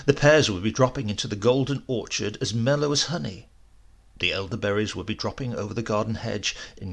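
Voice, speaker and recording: A man reading a literary short story as an audiobook narrator, in a measured, expressive way. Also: mid-range voice, gravelly, slightly rough.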